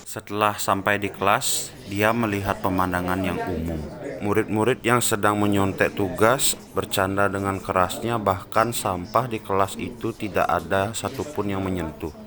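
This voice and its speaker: A man delivers scripted story narration in a low voice. He is the narrator of the tale, and music plays clearly behind him. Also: steady tone, normal speed.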